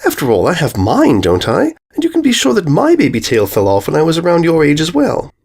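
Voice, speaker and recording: Guy doing a posh-mannered lady pony, higher pitched, motherly big sister, soothing n cooing, storybook read. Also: wildly swooping pitch, fairly quick, vocal fry, a little mellow, slightly rough, heavily acted. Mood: gentle, reassuring. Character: refined, motherly older-sister mare, gently reassuring.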